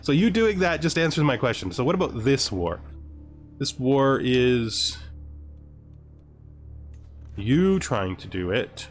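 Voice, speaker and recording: A man with a mid-pitched voice narrates a strategy game in a casual way. He muses aloud as he plays, posing questions to himself and answering them, with music playing behind his voice. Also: big pitch swings, slow pace, pauses often. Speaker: gamer narrating a let's play. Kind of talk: casual gameplay commentary, thinking aloud.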